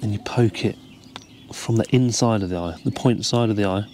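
A man, calmly explaining step by step how to tie a fishing rig, recorded outdoors. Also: fairly low pitch, moderate pace, slight background noise. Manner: relaxed, explains each step while tying. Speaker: carp fishing angler demonstrating a rig. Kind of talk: casual step-by-step tutorial. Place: outdoors by the water, seated over a tackle box.

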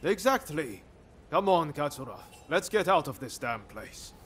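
A man's voice: on the high side, very animated, unhurried, fairly bright tone, a little hiss or hum. A man acting a coaxing, earnest adult samurai comrade in a video game cutscene. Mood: coaxing, resolute.

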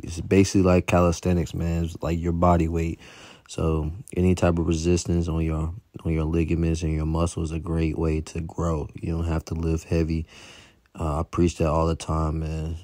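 A man's voice: low-pitched, warm tone, no room sound. A young American man giving a casual motivational fitness voice-over in a slangy, encouraging way. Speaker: fitness vlogger.

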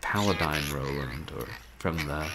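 Deep-voiced man chatting on a livestream. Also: measured pace, over a noisy crowd. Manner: animated, wry, rambling. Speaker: game streamer. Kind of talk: casual livestream chat. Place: small room at home, indoors.

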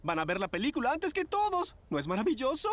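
A woman's voice: high voice, wildly swooping pitch, fast talker. A woman voicing a gushing, theatrical young male modeling agent in a children's cartoon, with a Latin American accent. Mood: gushing excitement. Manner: effusive, theatrical. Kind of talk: dubbed children's cartoon acting. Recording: light music behind the voice.

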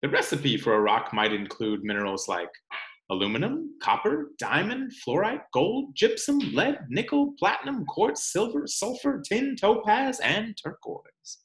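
A man in his thirties reading a children's picture book aloud in a deliberate, explanatory way, with dramatic emphasis on key words. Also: sits high, sing-song, a bit bright. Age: 30s. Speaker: read-aloud presenter. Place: small room at home, indoors. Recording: roomy home recording.

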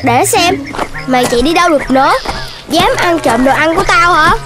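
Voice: brisk, loud music behind the voice, lightly acted. A performer voicing a boastful, squabbling childlike animal character in a children's cartoon, with exclamatory delivery. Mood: menacing bluster. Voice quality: childlike, very high. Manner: exclamatory, bragging, petulant.

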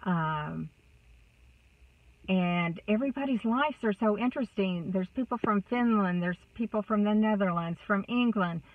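A woman's voice: mid-range voice, normal speed, no echo, far from the mic. Texan woman, about 60, rambling car vlog chat, thin phone-like dry sound. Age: 60s. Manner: chatty, warm, rambling with many fillers. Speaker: vlogger. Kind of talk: casual chat to camera. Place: inside a parked car. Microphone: phone camera close up.

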